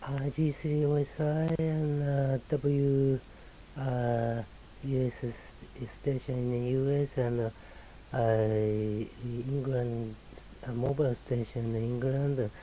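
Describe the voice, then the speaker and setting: A very dark, muffled male voice with a Japanese accent comes through over faint hiss. He speaks haltingly as a ham radio operator, repeating words in a casual exchange. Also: medium pitch, flat delivery. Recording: very muffled, hissy radio link.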